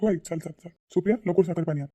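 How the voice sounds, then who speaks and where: Man playing a muffled, dark-voiced, stern son. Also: high voice, very fast, husky. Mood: concerned, commanding. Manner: questioning, commanding. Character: concerned, stern adult son and husband, taking charge at home. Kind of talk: cartoon family drama dialogue.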